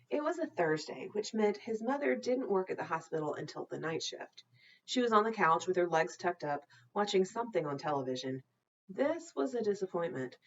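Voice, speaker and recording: Lower-pitched woman reading a children's novel aloud. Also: dull audio. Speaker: storytime reader, teacher.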